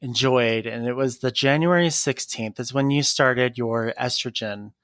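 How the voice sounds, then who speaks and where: A man co-hosting a casual interview podcast, chatty and quick to laugh. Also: mid-range voice, dry recording, studio quality. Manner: laughs often, chatty and animated. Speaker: podcast co-host.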